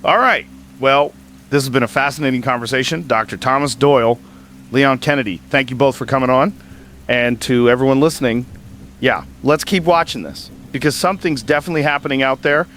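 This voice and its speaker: A man's voice: mid-range voice, brisk, a bit bright, slight background noise, lightly acted. A man playing a curious, conversational podcast host on a casual late-night show in an audio drama. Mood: intrigued. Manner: conversational, inquisitive. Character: curious, open-minded male podcast host, everyman questioner.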